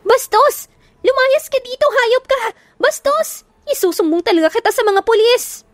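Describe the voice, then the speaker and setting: A woman voicing a feisty, hot-tempered young woman who scolds and shouts in a sharp, quick voice. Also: extremely high pitch, lively intonation, dry recording, pitched above the performer's own voice. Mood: shrieking.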